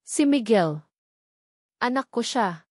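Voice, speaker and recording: A woman's voice: a little high, expressive, slow, dry recording, studio quality. A woman voicing an earnest, protective male doctor in a dramatic story, with an intense, pleading delivery. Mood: hurt, shocked.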